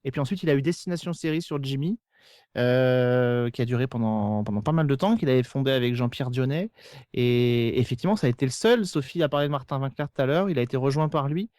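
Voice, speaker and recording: A man's voice: mid-range voice, fast talker, a little mellow, dry recording, clean studio sound. A man chatting casually on a podcast, explaining at length and hesitantly repeating words as he goes. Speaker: podcast host of a TV series show. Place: remote internet call recording. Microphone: podcast microphone.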